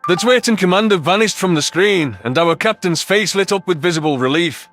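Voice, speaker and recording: A man's voice: sits high, lively intonation, fairly quick, fairly bright tone, soft background music. A man playing a thoughtful alien soldier who narrates his own story in a scripted sci-fi audio drama. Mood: reflective, serious.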